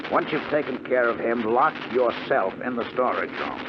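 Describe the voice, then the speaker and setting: On a muffled, noisy 1950 radio recording, an actor plays a male gang leader at an ordinary male pitch. He is calm and menacing, giving orders in a deliberate, controlled, commanding way, in vintage radio drama style. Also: natural voice. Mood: brisk, commanding. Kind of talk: 1950s radio drama acting and narration.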